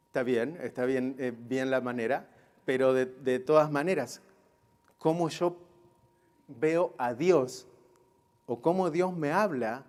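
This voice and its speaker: This is a man with a mid-range voice, teaching scripture to a live class. He is didactic and builds his points step by step through questions. He often pauses to check that his listeners are following. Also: medium pitch, darkish tone. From Israel. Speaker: Bible teacher.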